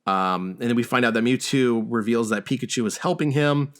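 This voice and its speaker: A man hosting a podcast, talking casually through a film's plot with a halting, stammering delivery. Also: mid-range voice. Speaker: podcast host. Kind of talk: casual film discussion and plot recap.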